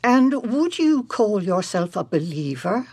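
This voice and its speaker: A woman counselor in a radio drama, with a husky, creaky voice. She speaks deliberately and presses with searching, rhetorical questions. Her delivery is unhurried and insistent. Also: medium pitch, sing-song, darkish tone, no room sound, heavily acted. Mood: pressing questions.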